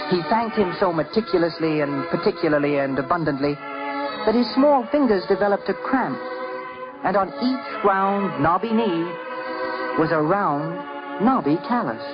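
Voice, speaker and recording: Male narrator for vintage radio storytelling, theatrical and lyrical, fairly high voice, dull old recording. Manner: theatrical, lyrical delivery with dramatic emphasis. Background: prominent music.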